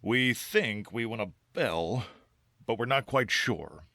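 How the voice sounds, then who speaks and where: A man's voice: mid-range voice, lively intonation, slow pace, bright, forceful tone, no echo, clean studio sound, full character voice. A man playing a mild, curious middle-aged surgeon husband in an old-time radio style drama. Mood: agreeable.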